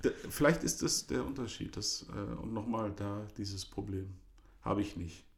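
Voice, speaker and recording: A man's voice: on the low side, moderate pace. A middle-aged man chatting casually and telling a story, hesitant with many fillers and restarts. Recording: roomy echo.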